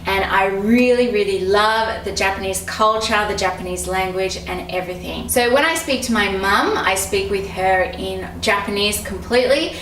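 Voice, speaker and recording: A young woman from Australia, talking casually to camera as a vlogger and English teacher, in a friendly, steady way. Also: medium pitch, normal speed, few pauses, roomy sound, distant mic, a little hiss or hum, rough recording.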